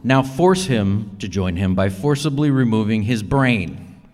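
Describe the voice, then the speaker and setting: A man co-hosting a live podcast on stage, calmly laying out character trivia. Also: lowish voice, measured pace. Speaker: podcast co-host presenting a character segment. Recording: live hall with reverb.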